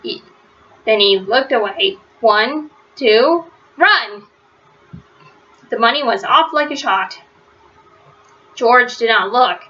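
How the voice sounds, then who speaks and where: Female children's read-aloud narrator, bright and crisp-edged, deliberate storybook phrasing, slightly muffled lo-fi recording. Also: a little high, expressive. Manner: simple, deliberate phrasing with stressed words for young listeners. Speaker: storybook reader.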